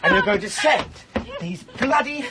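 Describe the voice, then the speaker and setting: Volatile, commanding husband, animated delivery. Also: male voice, extremely high pitch, measured pace. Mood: furious, scornful. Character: domineering, jealous young husband, tender then hot-tempered. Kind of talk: radio drama acting. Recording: radio drama with loud background noise.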